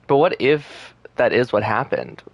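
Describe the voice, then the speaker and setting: A man's voice: mid-range voice, very animated, a little slow, creaky, dry recording, highs cut off. A man doing casual livestream game commentary in an informal, joking way. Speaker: game streamer.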